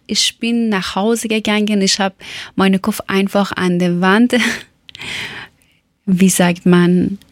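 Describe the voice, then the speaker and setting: A woman from Iran, speaking German as a learned language, tells her story haltingly and searches for words with many fillers. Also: medium pitch, no echo. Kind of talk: personal account.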